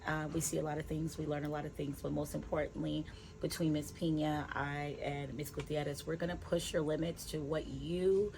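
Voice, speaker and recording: A woman's voice: deep voice, flat delivery, normal speed, gravelly, background noise. A woman teacher giving informal, encouraging and motivational remarks to students.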